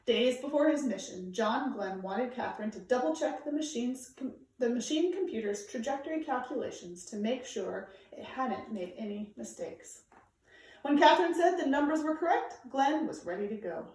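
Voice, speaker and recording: A woman reading a children's nonfiction book aloud in a measured, emphatic way, phrasing it for young listeners. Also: on the high side, animated, a little mellow. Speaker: storybook reader. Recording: very echoey room, distant microphone.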